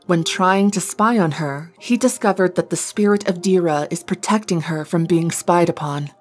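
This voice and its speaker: A woman's voice: lowish voice, normal speed. A woman reading a scripted narration that recaps a fantasy story. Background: background music.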